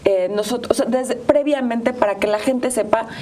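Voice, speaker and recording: A woman's voice: fairly high pitch, normal speed, dark tone. A woman with a Mexican accent hosting a conversational interview, thinking aloud with hesitant repetitions. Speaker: host. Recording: slightly distant microphone, faint noise.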